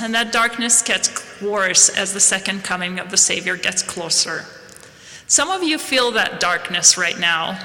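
A woman, from Palestine, giving a slow, measured, earnest devotional address to a congregation. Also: medium pitch, sharp tone. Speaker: guest speaker at a church devotional. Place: large historic hall. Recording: long reverb of a large hall.

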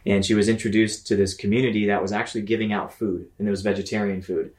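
A man's voice: lowish voice, level tone, fast, a little mellow. A man in his thirties from Mississippi, telling his story conversationally as an interview guest, in a reflective, explanatory way. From Mississippi, United States. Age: 30s. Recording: roomy, echoing sound.

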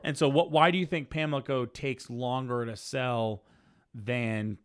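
A man's voice: mid-range voice, steady tone, a little slow. Chatty thirty-something man hosting a podcast. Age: 30s. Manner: rambling, joking, full of fillers and restarts. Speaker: podcast host. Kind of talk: casual chat.

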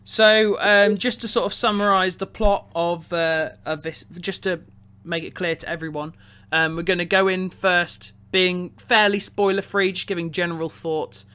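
Male podcast host, quite high voice, very bright tone, halting starts, repeated words, casual review chat. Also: fairly even intonation, clean tone.

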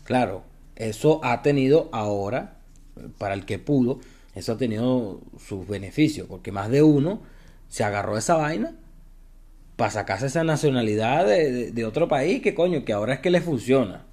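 A man's voice: mid-range voice, expressive, normal speed, a little mellow. A young man with a Venezuelan accent, talking bluntly and casually in a podcast monologue, swearing freely as he gives his opinions. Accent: Venezuelan Spanish. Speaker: podcast host.

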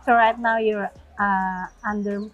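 A woman conducting an interview, asking brief questions at a middle pitch and prompting with quick hums. Faint music plays behind her. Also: fairly even intonation, highs cut off. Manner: short prompts and backchannel hums.

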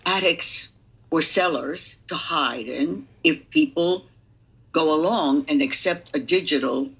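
Slow, deliberate elderly woman, muffled recording. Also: sits low, pushed and bright, breathy edge. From Romania. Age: 80s. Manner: deliberate, halting, grave. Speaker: interview guest. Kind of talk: personal testimony.

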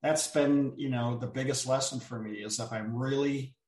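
A man who is an author, telling stories as a guest in an unhurried, thoughtful way with frequent filler pauses. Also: medium pitch, steady tone, darkish tone. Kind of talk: reflective storytelling chat. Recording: roomy sound, distant from the mic.

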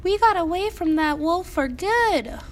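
A woman's voice: very high-pitched, animated, measured pace, a little hiss or hum. A woman voicing plucky, curious little pigs from a children's storybook in a playful, exclamatory way. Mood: excited, curious. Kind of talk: acted children's storybook dialogue.

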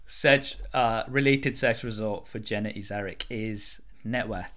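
A man's voice: fairly low pitch, a little slow, fairly bright tone. A man, likely in his twenties, hosting a casual conversational interview. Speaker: interview host, content creator. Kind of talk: casual chat.

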